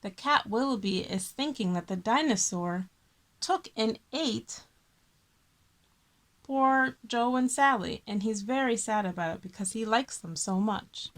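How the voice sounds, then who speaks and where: A woman's voice: medium pitch, expressive, measured pace, soft background music. A woman hosting a children's story time, reading a picture book expressively with friendly asides and stressed key words.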